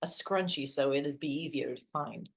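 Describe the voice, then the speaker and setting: An actress playing an awkward, literal-minded young woman in a play reading, a hopeless romantic with a hesitant, wry deadpan manner. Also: low-pitched, moderate pace, vocal fry. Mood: wistful, self-mocking. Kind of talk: two-hander stage play reading.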